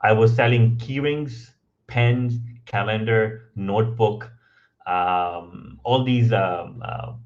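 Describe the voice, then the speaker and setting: Man, low voice, non-native English speaker, chatty storyteller, dull lo-fi audio. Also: takes it slowly. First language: not English. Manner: frequent ums and restarts, tag questions of "right?". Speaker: podcast guest. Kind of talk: conversational storytelling.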